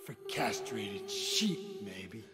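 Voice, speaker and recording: Sardonic, slow-talking hardboiled detective. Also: male voice, fairly low pitch, wildly swooping pitch, heavily acted. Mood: mocking. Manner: sardonic, blunt. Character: cynical, world-weary male hired detective. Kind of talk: dramatic video game cutscene acting. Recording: hall reverb under loud music.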